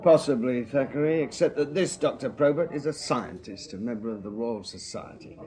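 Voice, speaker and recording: A shrewd Victorian detective sergeant with an English accent, played by a male actor in a radio drama. He speaks tersely and dryly at a middling pitch, like a working policeman giving the facts. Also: normal speed, darkish tone, lightly acted. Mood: brisk, authoritative. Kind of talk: 1980s British radio drama acting.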